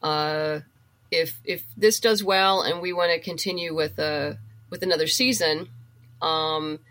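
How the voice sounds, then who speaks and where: A woman's voice: low voice, fairly even intonation, a little slow, fairly bright tone. A woman from the United States talking as a guest in a casual, conversational interview. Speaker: interview guest, writer and producer.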